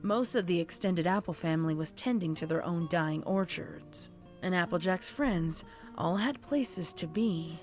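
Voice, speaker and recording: A woman's voice: on the low side, unhurried, darkish tone, faint music behind. A woman reading scripted fiction as a storybook narrator. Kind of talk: scripted audiobook-style horror fan fiction narration.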